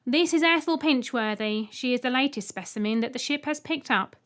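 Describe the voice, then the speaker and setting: A high, emphatic little male robot, acted out by a woman narrator. He is a bossy, showy guide in a storybook, projecting his words with jumpy energy. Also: fairly quick, fairly bright tone. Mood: announcing.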